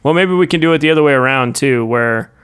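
A man's voice: mid-range voice, quick pace, fairly bright tone, clean tone. A man co-hosting a casual podcast, laid-back and agreeable, filling his talk with "like" and "yeah". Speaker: podcast co-host, musician. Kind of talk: casual chat.

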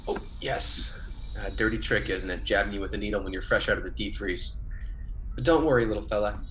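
An actor playing a conscientious, measured male biologist on a space colony in an old-time radio science-fiction drama. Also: fairly low pitch, fairly bright tone, slight background noise, natural voice. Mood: gently reassuring.

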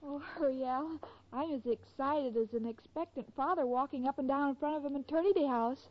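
A woman plays a ditzy, adoring young girlfriend in a vintage 1940s radio sitcom. Her voice is very high, innocent and gushing. The recording is muffled with faint noise. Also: fairly quick, close to an everyday voice. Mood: eager. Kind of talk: 1940s radio comedy acting.

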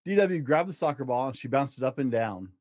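A man hosting a podcast and reading a children's picture book aloud in an expressive, earnest way for young listeners. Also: medium pitch, brisk, no room sound, clean studio sound. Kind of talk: scripted storybook narration.

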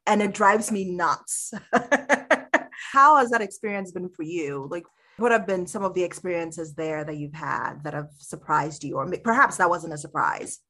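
A woman's voice: on the low side, moderate pace, pushed and bright. A woman interviewing a guest conversationally, engaged and laughing easily.

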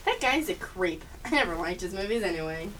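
A woman's voice: lowish voice, lively intonation, fairly quick, fairly bright tone. A woman voicing a sassy, mean pet cat with a sarcastic, haughty manner in an amateur radio comedy. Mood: dismissive. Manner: sarcastic, haughty, reading from a script. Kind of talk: amateur old-time radio comedy drama. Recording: distant mic with faint background noise.

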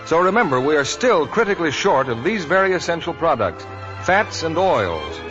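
Lively male 1940s radio announcer. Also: on the high side, moderate pace. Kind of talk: scripted 1940s radio public appeal. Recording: muffled 1940s radio, music and crowd behind.

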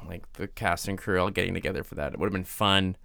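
Low, creaky-voiced man bantering on a podcast. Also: fast talker, sharp tone, dry recording, clean studio sound. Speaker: podcast co-host. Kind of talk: casual comedy podcast banter.

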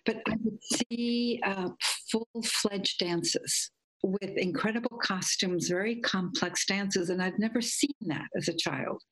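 A middle-aged woman from Guatemala telling her life story in a reflective, meandering way, correcting herself and laughing softly. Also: medium pitch, slow pace, low-quality recording. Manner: dreamy, meandering, with soft laughs and self-corrections. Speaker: guest, imagery teacher.

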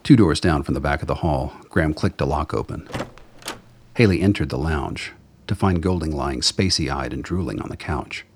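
A man's voice: moderate pace, slight background noise. A man delivering scripted narration for a radio drama as its storyteller. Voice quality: very deep, husky.

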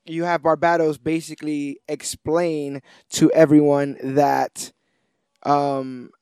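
A man with a somewhat high voice co-hosts a casual comic book podcast. He is an animated storyteller who talks directly to listeners, and the recording is dry with no room echo. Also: slow pace, clear, resonant tone. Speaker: podcast co-host.

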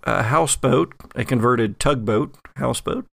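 A man's voice: mid-range voice, moderate pace, vocal fry, fairly bright tone, no room sound, clean studio sound. A man narrating as game master and podcast host, shifting between theatrical storytelling and casual asides. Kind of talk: recapping and running a tabletop game.

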